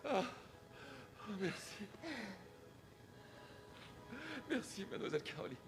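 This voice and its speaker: An actor playing a gentle, ordinary man who looks after children, down-to-earth and a little anxious, in a film. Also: sits high, very animated, a little fast, noisy background. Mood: urgent, relieved.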